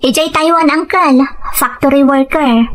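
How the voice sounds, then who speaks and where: Woman acting a high-voiced, polite young wife. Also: a little slow. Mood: polite, earnest. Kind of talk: Ilocano radio drama acting.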